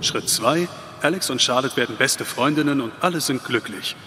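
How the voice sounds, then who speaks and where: A man's voice: mid-range voice, normal speed, background noise, natural voice. A man playing an easygoing, caring young man in a video game, talking in a casual, upbeat way. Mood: earnest. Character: easygoing, caring young man, devoted boyfriend and older brother. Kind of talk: naturalistic video game dialogue.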